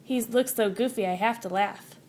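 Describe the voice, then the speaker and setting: A woman's voice: fairly high pitch, normal speed. Woman reading a storybook, noisy recording. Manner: animated, acts out dialogue and sound words. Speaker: storybook reader. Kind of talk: expressive children's storybook narration.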